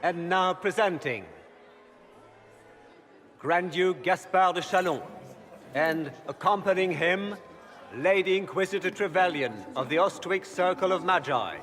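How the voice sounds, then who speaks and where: A man's voice: high voice, measured pace, pauses often. An actor playing a pompous male court herald who declaims formal titles in a fantasy game. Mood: grand, formal. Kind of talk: formal ceremonial announcement. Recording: echoey grand hall, loud music.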